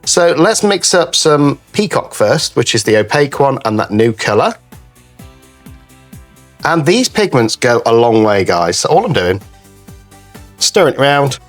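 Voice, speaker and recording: Slightly croaky man, lively pitch, background music. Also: a little slow, pauses often. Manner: chatty, addresses viewers as guys. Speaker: craft channel host. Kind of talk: casual craft tutorial chat.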